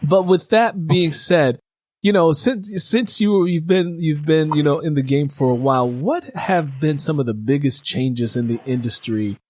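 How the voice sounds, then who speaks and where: A man's voice: fairly high pitch, a little slow, darkish tone, no room sound. Dry-sounding middle-aged man, chatty interviewer. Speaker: interviewer, host. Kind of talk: casual conversational interview.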